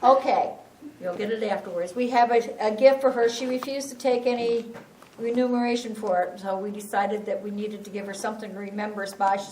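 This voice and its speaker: A woman's voice: mid-range voice, fairly even intonation, fairly quick, a little mellow, far from the mic, slight background noise. A woman making casual announcements to a church audience, chatting and laughing. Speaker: church event organizer. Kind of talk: informal announcements. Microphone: distant room mic. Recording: roomy church recorded from afar with crowd.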